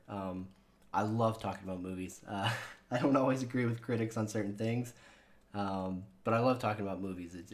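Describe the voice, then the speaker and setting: A man hosting his own channel in casual chat with viewers, rambling and swearing with lots of fillers, his voice sounding younger than he is. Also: fairly low pitch. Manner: rambling and profane, with many fillers. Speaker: channel host.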